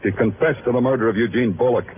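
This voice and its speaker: A man's voice: medium pitch, fast talker, a little hiss or hum, close to an everyday voice. A man acting a hard-boiled, sardonic New York police lieutenant in 1940s radio crime drama style. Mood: cold, needling. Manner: clipped, sardonic, brooding. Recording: muffled vintage radio recording, music behind.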